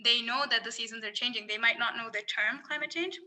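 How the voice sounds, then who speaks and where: A woman's voice: a little high, brisk, pushed and bright. A young woman from Singapore, a climate researcher, speaking earnestly as a podcast guest with frequent restarts. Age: young adult. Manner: earnest, thoughtful, many restarts. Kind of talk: casual podcast interview.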